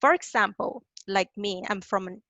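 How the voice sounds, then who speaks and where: A woman's voice: mid-range voice, normal speed, bright, forceful tone, no room sound, dull audio. A woman from Thailand coaches students through a career webinar in a mid-range voice. She speaks directly and encouragingly and keeps checking in with her listeners. The sound is dry and close, with no room around it. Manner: encouraging, direct, tags lines with "okay?". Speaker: career advisor presenting a webinar.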